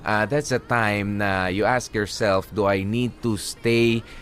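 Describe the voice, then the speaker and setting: A man co-hosting a radio advice show, giving his opinion haltingly, with many pauses, and mixing in English phrases. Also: fairly low pitch, steady tone, fairly bright tone. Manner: hesitant, fills pauses, mixes English into talk. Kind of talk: casual commentary.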